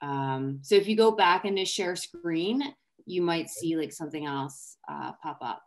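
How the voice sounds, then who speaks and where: A woman's voice: deep voice, a little slow. A woman in her thirties moderating an online event informally over a video call. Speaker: event host. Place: room at home, indoors, on a video call. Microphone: computer mic on video call.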